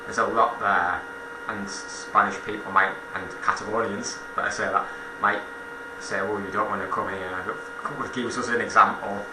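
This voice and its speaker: A man giving an informal political monologue as a commentator, halting and self-correcting, repeating phrases for emphasis. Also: on the low side, fairly even intonation, roomy sound, background noise.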